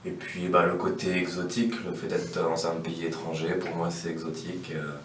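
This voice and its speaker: A French man in his twenties, a musician, answering interview questions slowly and hesitantly. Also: low voice, monotone. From France. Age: 20s. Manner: slow, hesitant. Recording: roomy, distant, faint noise.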